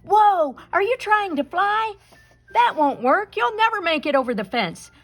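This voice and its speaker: A woman's voice: extremely high pitch, sing-song, a little slow, fairly bright tone, soft background music, voice pushed up, exaggerated voice. A woman voicing a small, feisty young chicken in a storybook, jumpy and exclaiming. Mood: insistent. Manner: exclaiming, stammering when flustered.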